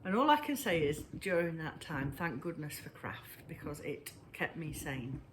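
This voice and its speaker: Breathless Englishwoman chatting haltingly. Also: deep voice, big pitch swings, background noise. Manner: halting, pauses often. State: breathless, wheezy. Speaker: knitting vlogger. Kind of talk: casual chat to camera. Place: at home indoors.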